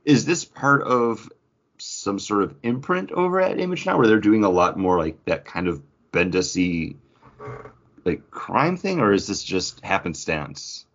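A man's voice: lowish voice, big pitch swings, a little slow, highs cut off. A man co-hosting a podcast, casually chatting about comic books and thinking aloud in a rambling way. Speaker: podcast co-host.

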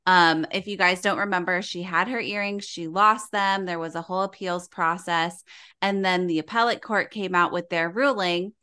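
A woman hosts a casual podcast with a bright, forceful tone. Her voice is smooth and clear, and she chats in a bubbly, off-the-cuff way, laughing often. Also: on the low side, fairly even intonation, normal speed. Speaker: podcast host.

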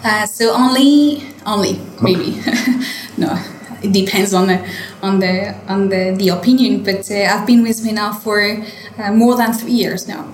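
A young French woman winemaker speaks English with a clear French accent, stopping on fillers and repeating words as she searches for them. Her voice sits mid-pitch and carries the ringing echo of a stone wine cellar. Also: unhurried. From France. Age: late 20s. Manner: hesitant, many fillers and restarts. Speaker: winemaker guest. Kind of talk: interview answers.

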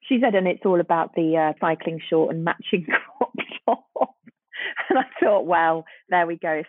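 Husky woman on a phone line. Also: fairly low pitch, normal speed, dry recording. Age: 40s. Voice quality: husky, gravelly. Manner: chatty, repeats words as she thinks. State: losing her voice. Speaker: guest, fashion journalist. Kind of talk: casual interview chat.

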